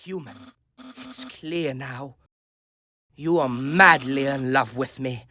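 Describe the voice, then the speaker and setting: A man voicing a vain, naive cartoon skeleton from a video game, with a grandiose, theatrical delivery that jumps between hushed asides and loud exclamations. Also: mid-range voice, lively intonation, slow pace. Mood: tender, solemn. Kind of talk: amateur video game character dub. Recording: voice over background music.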